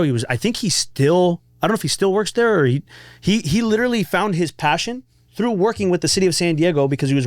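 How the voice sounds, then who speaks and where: A fairly high-pitched man hosts a casual podcast interview. He is chatty and slangy and rambles as he goes. His voice is recorded dry, with little room sound. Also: clean tone, no echo. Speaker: podcast host.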